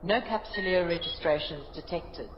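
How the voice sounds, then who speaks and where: A woman's voice: fairly low pitch, normal speed, natural voice. Woman playing a procedural starship helm officer, muffled. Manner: formal, clipped status announcements. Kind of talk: science fiction audio drama acting. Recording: muffled, noisy, reverberant ship interior effect.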